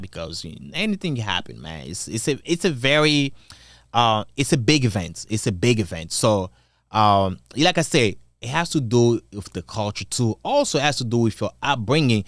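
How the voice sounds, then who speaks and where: Young Congolese man, low voice, casual rambling vlog talk, dry. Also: dry recording. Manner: rambling, many fillers and restarts. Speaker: channel host. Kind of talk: casual opinion monologue.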